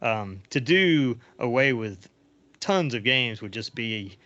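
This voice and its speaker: A man's voice: medium pitch, animated, slow pace, sharp tone, no echo. Rambling man in dull, lo-fi audio. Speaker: podcast host. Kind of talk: casual sports chat interview.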